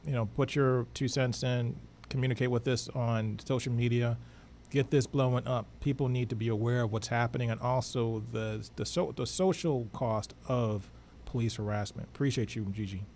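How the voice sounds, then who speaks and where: A middle-aged American man co-hosts a radio show in a conversational style. His voice sits at a medium pitch, close on a studio mic, with faint noise behind it. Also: fairly even intonation, normal speed, a little mellow. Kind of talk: conversational broadcast hosting. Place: small office studio, indoors. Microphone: close studio microphone with headphones.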